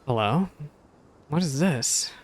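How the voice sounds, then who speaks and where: A man's voice: on the high side, lively intonation, slow. Casual male game streamer thinking aloud. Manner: self-deprecating, thinking aloud. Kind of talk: casual live gameplay commentary, reading game text aloud. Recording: stream with faint background noise.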